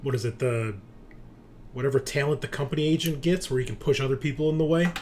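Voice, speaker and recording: A man's voice: mid-range voice, fast, darkish tone. A man hosting in a casual, chatty and joking way, as game master on an online call. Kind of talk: casual podcast introduction. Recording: noticeable background noise.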